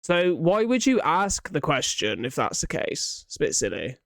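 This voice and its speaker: A young man with a slightly high voice reacts to what he is watching. He talks casually and informally, thinking aloud and swearing freely as he comments. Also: huge pitch swings, normal speed, vocal fry, fairly bright tone. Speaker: reaction video host.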